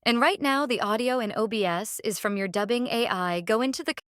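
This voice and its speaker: A woman narrating a casual software tutorial, explaining step by step in a halting way and repeating phrases. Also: fairly high pitch, smooth, dry recording, studio quality. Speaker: tutorial presenter.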